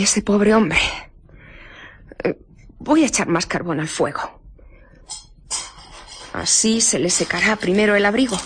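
An actress from a radio drama playing a nosy, fretful, chatty village innkeeper who rambles, with an accent from Spain. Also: female voice, mid-range voice, a bit bright, a little hiss or hum. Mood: pitying, curious. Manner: rambling, muttering asides to herself.

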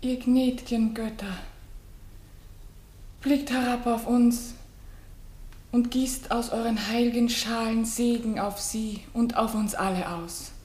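A gentle, reverent queen declaims radio-drama verse with feeling. Her voice is fairly high yet mellow and very unhurried, with a roomy sound around it. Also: fairly even intonation. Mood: prayerful. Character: gentle queen and mother returned to life. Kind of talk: classic German radio drama acting in verse.

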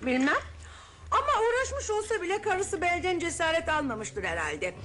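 A woman playing a chatty, fond aunt in a radio play, lively and talkative as she reminisces. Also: extremely high pitch, normal speed, heavily acted. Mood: reminiscing. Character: chatty middle-aged aunt, gossipy, fond. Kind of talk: 1970s Turkish radio drama acting. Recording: old radio broadcast with music.

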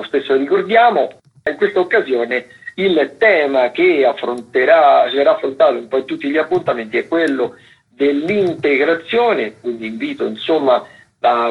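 A man's voice: medium pitch, gravelly, breathy edge. A man hosting a radio listings segment, talking semi-improvised and recommending events in a hesitant, digressive way, often repeating words as he goes. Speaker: radio host presenting theatre listings. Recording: muffled, phone-like narrow-band radio sound.

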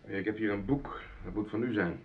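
An actor playing a police sergeant in a radio play, formal, polite and matter-of-fact. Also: male voice, on the low side, a little fast, slight background noise, natural voice. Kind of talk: Dutch radio play dialogue. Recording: dull lo-fi radio play recording.

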